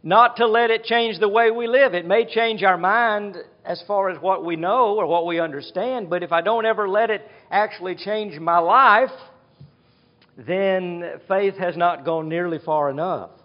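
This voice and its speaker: A man preaching a sermon, teaching slowly and deliberately, and repeating key words for emphasis. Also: sits high. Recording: dull hall reverb.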